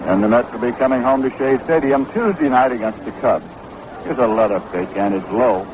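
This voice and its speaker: A 1960s radio broadcast with clear noise and outdoor ballpark ambience carries an American man's voice. He calls baseball play-by-play in a measured, descriptive way, at an average male pitch. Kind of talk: 1960s radio sports commentary.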